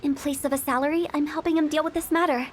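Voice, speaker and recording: A woman's voice: high-pitched, steady tone, fast talker, slight background noise, natural voice. An actress playing a playful, shrewd young woman who runs a funeral parlor in a game, confident and teasing. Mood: matter-of-fact. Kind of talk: game voice acting.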